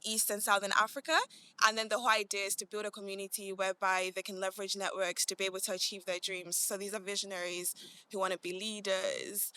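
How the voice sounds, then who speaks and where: A woman's voice: mid-range voice, sharp tone, clean tone, no room sound. A young British woman chatting informally as an interview guest, often dropping "like" into her sentences. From grew up in Manchester, England; born in Malawi. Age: young adult. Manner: chatty, informal, peppers speech with "like". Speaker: podcast guest, commercial analyst. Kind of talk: casual conversational interview answers.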